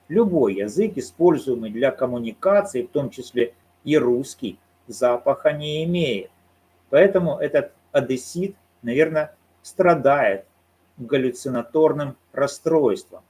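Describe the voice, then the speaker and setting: Husky man giving argumentative commentary. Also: mid-range voice, darkish tone. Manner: argumentative, poses rhetorical questions and answers them. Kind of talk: solo commentary on a court case.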